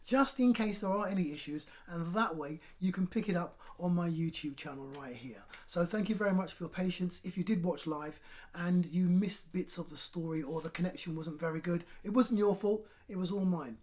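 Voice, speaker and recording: A man presenting a children's storytime, talking directly and encouragingly to young listeners and narrating in a lively way. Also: sits high, normal speed.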